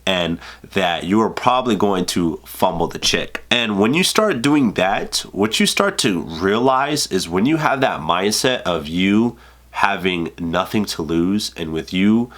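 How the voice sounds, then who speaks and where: A man's voice: deep voice, lively intonation, a little slow, a bit bright. A man in his twenties, congested from a cold, giving casual dating advice in a loose, profane, filler-heavy way. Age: 20s. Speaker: vlogger. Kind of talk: casual advice vlog to camera.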